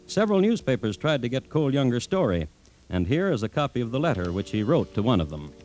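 Actor as droll, lively-pitched crime storyteller. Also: male voice, faint music behind, natural voice, low-quality recording. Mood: solemn, dry. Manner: deliberate, dry, clipped dramatic phrases. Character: droll, solemn crime-history storyteller with files. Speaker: host-narrator. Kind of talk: 1950s radio drama narration.

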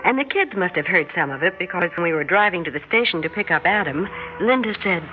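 An actress with an American accent playing a calm suburban housewife and mother who has hunches, in a radio drama. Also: on the low side, fast talker, slight background noise, lightly acted. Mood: composed. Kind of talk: radio drama narration and dialogue. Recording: muffled radio recording with prominent music.

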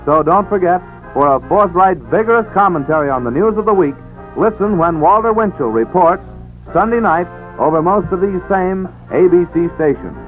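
A man's voice: high voice, lively intonation, normal speed, soft background music. A man delivering a formal, emphatic promotional announcement as a 1940s radio network announcer. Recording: thin narrow-band old radio broadcast.

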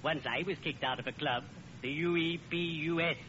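An actor playing a friendly, formal undertaker with deadpan morbid humor in a 1940s radio comedy. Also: male voice, on the high side, normal speed. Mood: genteel, morbidly cheerful. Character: friendly, ghoulishly genteel male undertaker. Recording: muffled, very noisy old radio broadcast.

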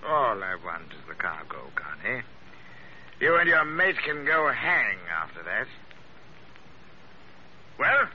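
An actor playing a cold, commanding villain sea captain in an old-time radio adventure, giving threatening clipped orders with mocking politeness. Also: male voice, mid-range voice, very animated, takes it slowly, long pauses. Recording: muffled, hissy vintage radio recording.

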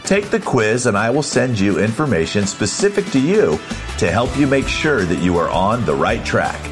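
A man hosting a podcast in a motivational, advice-giving style, emphatic and plain-spoken, with a husky voice. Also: fairly low pitch, big pitch swings, moderate pace, over music. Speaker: podcast host, music manager.

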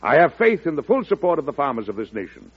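An actor playing a calm, resolute president in an old-time radio drama, measured and statesmanlike. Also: male voice, a little high, husky. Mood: firm conviction. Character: calm, resolute male president addressing his cabinet secretaries. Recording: muffled vintage radio drama recording.

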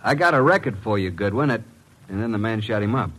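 An actor playing a brisk, streetwise detective's assistant in an old radio drama, matter-of-fact, on a faintly noisy recording. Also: male voice, on the low side, lively intonation, a bit bright.

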